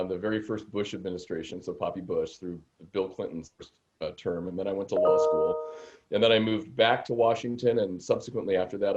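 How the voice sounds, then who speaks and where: A man's voice: lowish voice, a little mellow, dull audio, a little hiss or hum. A man in his fifties from the Seattle area, a landlord attorney answering questions on a video-call webinar in a chatty, self-deprecating way. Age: 50s. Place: home room indoors, video call.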